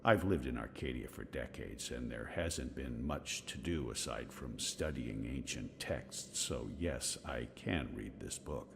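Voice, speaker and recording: A man's voice: extremely low pitch, measured pace, creaky, hardly any pauses, background noise, full character voice. An actor playing a scholarly, curious, dry-witted older man in a video game, musing in a bookish way. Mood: studious musing. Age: middle-aged. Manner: bookish, musing, exclaiming over finds. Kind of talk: video game dialogue acting.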